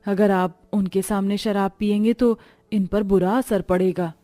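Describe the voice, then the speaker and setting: A woman playing a kind, dutiful poor mother and housemaid in a cartoon story, speaking gently and instructively. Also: medium pitch, steady tone, unhurried, no room sound, lightly acted. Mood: concerned, respectful.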